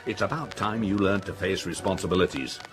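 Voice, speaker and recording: A man's voice: low voice, a little fast, lightly acted. An actor playing a pompous, irritable middle-aged factory owner and father in a radio drama, with a blustering, authoritative manner. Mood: irritable, dismissive. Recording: music under the voice.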